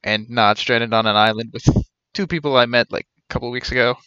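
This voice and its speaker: Bright-voiced young man streaming, slightly dull audio. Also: mid-range voice, fairly quick, clean tone, no echo. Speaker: game streamer. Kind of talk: casual live stream commentary on a game.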